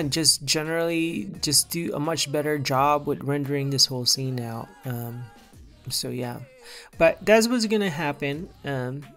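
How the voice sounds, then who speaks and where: Man, forties, grew up in the Philippines, art timelapse narrator, gravelly, mid pitch, rambling, faint music. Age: 40s. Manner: relaxed, rambling, many fillers. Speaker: digital artist.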